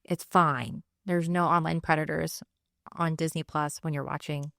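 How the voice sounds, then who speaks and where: A woman's voice: deep voice, fairly even intonation, moderate pace, creaky, a bit bright, no room sound, clean studio sound. A woman, chatting casually as a podcast co-host. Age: 30s. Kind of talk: casual chat.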